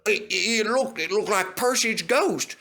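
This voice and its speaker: A man's voice: high voice, unhurried, vocal fry, bright, forceful tone, pitched above the performer's own voice, full character voice. A man voicing a nervous old male tram engine who speaks in a shaky, stammering voice for a storybook reading. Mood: frightened.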